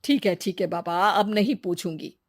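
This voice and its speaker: A woman's voice: medium pitch, moderate pace, close to an everyday voice. A woman playing a curious, chatty, helpful village woman with an inquisitive, colloquial manner, in a cartoon moral story. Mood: probing.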